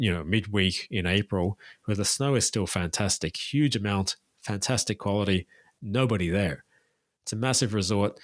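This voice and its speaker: Man, tour guide on a podcast, fairly low voice, dry close sound, informative and keen. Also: no room sound. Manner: detailed, informative, enthusiastic recommendations. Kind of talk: casual interview chat.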